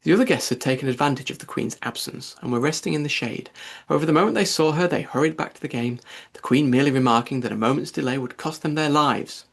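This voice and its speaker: A man's voice: mid-range voice, fast talker, vocal fry. A man narrating a children's storybook as a scripted audiobook reading.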